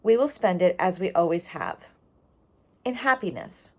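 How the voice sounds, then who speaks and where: A woman reading the part of a wise, calm old great-grandfather, speaking in a measured, resolute way in a storybook. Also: fairly low pitch, heavily acted. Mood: gentle, resolute. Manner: measured, resolute, addressing family.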